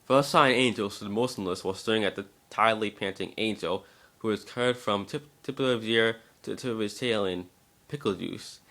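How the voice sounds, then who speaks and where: A man's voice: lowish voice, a little fast, fairly bright tone. A man giving an amateur scripted narration of a story, now and then stumbling over the words.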